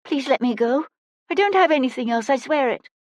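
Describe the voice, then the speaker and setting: A woman's voice: fairly high pitch, very animated, unhurried, slightly rough, no echo, pitched above the performer's own voice, full character voice. A woman voicing a frightened, polite young lad in an audiobook, with a small, shaky, pleading voice.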